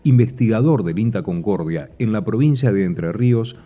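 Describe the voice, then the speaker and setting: Male narrator, deep and very dark, mellow tone, scripted informational read. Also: expressive, normal speed. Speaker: podcast narrator.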